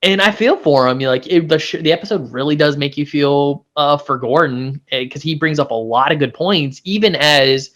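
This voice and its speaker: A man's voice: on the high side, moderate pace, few pauses, a bit bright. Rambling man in casual review chat. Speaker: pop-culture show co-host.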